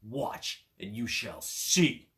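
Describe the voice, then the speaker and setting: Man playing a boastful, drawn-out storybook ogre. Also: on the low side, big pitch swings, a bit bright, exaggerated voice. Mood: boastful roar. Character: boastful, roaring male ogre from a fairy tale.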